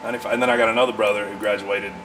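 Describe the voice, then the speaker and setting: A man in his forties talking casually as a podcast guest, in an earnest, halting way as he thinks aloud. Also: fairly low pitch, fairly even intonation, noisy background. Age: 40s. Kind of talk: casual conversation.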